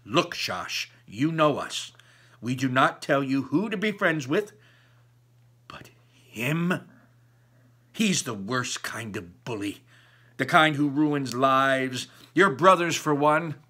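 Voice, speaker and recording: A man's voice: medium pitch, animated, slow pace, bright, forceful tone, heavily acted. A man voicing a wounded, angry, protective mother from a storybook, scolding her child with emphasis. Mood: exasperated.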